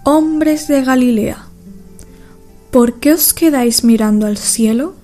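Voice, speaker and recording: Woman acting a solemn, mellow heavenly messenger. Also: high-pitched, slow. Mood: solemn, reassuring. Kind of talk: youth radio play acting. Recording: music playing behind the voice. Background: background music.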